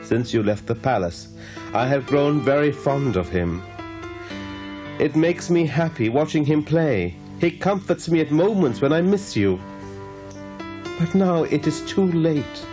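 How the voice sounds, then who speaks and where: A man playing an old king and doting grandfather in an animated religious drama, grave and pleading. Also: a little high, a little slow, music in the background. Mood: grieved. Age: older.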